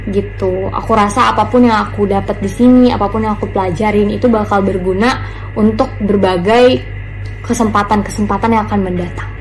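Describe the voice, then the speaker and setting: A woman speaking in a chatty, relaxed way about her internship, with casual fillers. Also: medium pitch, fairly quick, soft background music, low-quality recording. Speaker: former intern. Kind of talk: casual testimonial.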